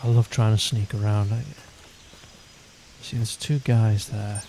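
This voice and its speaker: Guy doing chill lets play commentary, croaky voice, kinda thinking out loud, game noise behind him. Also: lowish voice, flat delivery, measured pace. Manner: loose, thinking aloud. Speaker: gaming video host. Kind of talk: casual gameplay commentary. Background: game ambience.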